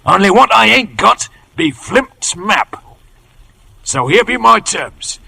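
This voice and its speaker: Sly old-radio boss, high gravelly voice, talk, swoops all over. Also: male voice, expressive, slow pace, bright, forceful tone, exaggerated voice. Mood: bargaining, sly. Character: one-legged pirate leader, sly, wheedling, menacing. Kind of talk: 1950s radio drama acting.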